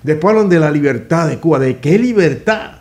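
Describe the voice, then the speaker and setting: A man's voice: on the high side, lively intonation, brisk, a little mellow. An older man in his sixties speaks with indignant emphasis, stumbling over restarts as he presses his points. He sits in a small office studio as a guest in a political chat. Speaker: guest commentator.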